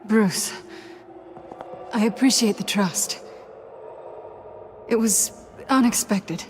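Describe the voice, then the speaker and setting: Woman playing a guarded, slow-talking female thief. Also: medium pitch, a little hiss or hum, lightly acted. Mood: sincere. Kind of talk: video game drama acting.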